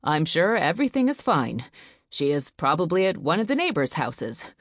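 A woman's voice: sits low, lively intonation, moderate pace, no echo, clean studio sound. A woman reading as a stern, worried father. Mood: firm. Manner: firm, stern.

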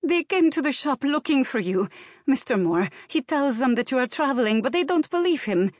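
Woman acting a frantic high-voiced Bulgarian maid. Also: expressive, no echo, clean studio sound. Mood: urgent, pleading. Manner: rushed, urgent, broken English. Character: frantic Bulgarian maid, excitable, urgent, protective. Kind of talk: audiobook character dialogue.

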